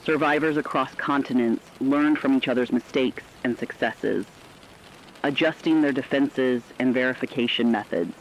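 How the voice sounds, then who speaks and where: A man giving scripted, documentary-style dramatic narration with a measured, serious delivery. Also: medium pitch, highs cut off, a little hiss or hum.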